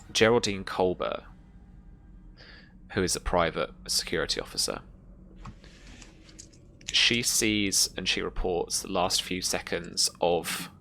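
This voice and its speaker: A woman runs a tabletop sci-fi horror game as game master. She narrates slowly and haltingly in a bright, gravelly voice, with some noise behind her. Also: very deep voice.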